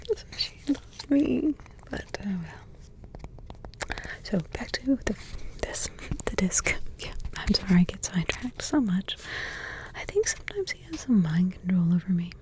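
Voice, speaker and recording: A woman voicing a doting grandmotherly witch in an ASMR roleplay, with a soft, tender whisper and rambling asides. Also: mid-range voice, rough recording. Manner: tender, sidetracked asides, affectionate endearments.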